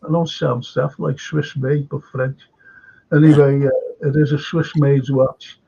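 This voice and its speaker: Chatty older bloke hosting a fan show, husky voice, muffled lo-fi call. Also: male voice, mid-range voice, flat delivery, unhurried, warm tone. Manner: rambling, chatty, full of "you know". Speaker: host. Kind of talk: casual fan chat show.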